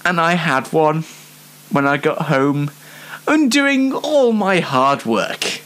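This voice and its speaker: Young British man, animated rambling chat. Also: on the high side, slow pace. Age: young adult. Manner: chatty, rambling, self-deprecating. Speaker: host of a personal show. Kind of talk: casual anecdotal monologue.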